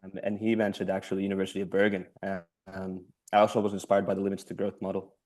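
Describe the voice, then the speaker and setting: A man's voice: sits low, completely flat delivery, quick pace, darkish tone. A young man from Finland raised in the US, introducing himself hesitantly on a video call. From born in Finland, raised in the US. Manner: hesitant, lots of ums. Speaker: master's student. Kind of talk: self-introduction in online meeting.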